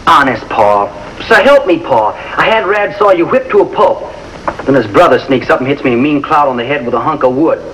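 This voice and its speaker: An actor playing an aggrieved, boastful young son with a rural Southern American accent in a 1950s television drama. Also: male voice, medium pitch, lively intonation, fairly quick, a bit bright, natural voice. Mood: aggrieved boasting. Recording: distant, faintly noisy 1950s TV soundtrack.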